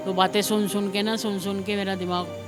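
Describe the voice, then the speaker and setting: A woman's voice: mid-range voice, level tone, fairly quick. A middle-aged woman with a Pakistani accent giving a spontaneous, rambling personal account, repeating herself and retelling conversations. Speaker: interviewee recounting her own life. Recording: music laid under the voice.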